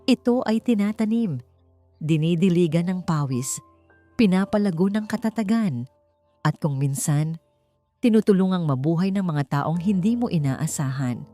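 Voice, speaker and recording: A woman voicing an earnest young female graduate with a firm, heartfelt, measured delivery in an audiobook. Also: lowish voice, animated, mellow, lightly acted. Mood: solemn, hopeful. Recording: music bed under the voice.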